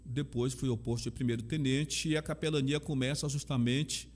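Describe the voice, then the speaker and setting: A man's voice: medium pitch, steady tone, normal speed, darkish tone. Bahian man, chaplain guest, emphatic and sincere, repeats phrases. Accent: Bahian Brazilian Portuguese. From Salvador, Bahia, Brazil. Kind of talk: earnest conversational testimony.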